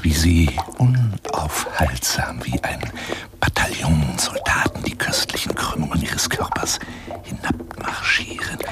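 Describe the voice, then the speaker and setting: An actor playing an obsessive, menacing, wounded male caller in a German radio play monologue, theatrical and florid, with a raspy voice and insinuating delivery. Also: a bass voice, big pitch swings, measured pace, over loud music, heavily acted. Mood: menacing, lascivious whisper. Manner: theatrical, florid, insinuating, swinging into breathy whispers.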